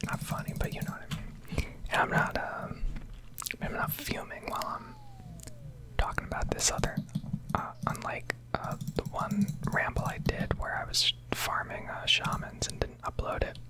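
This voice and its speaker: A young man speaks in a soft, unvoiced whisper, right up at the microphone. He rambles candidly in a conversational ASMR style, swearing casually as he goes. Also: moderate pace. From United States, Connecticut. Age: young adult. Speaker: ASMR streamer. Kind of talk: whispered ASMR rambling rant over gameplay.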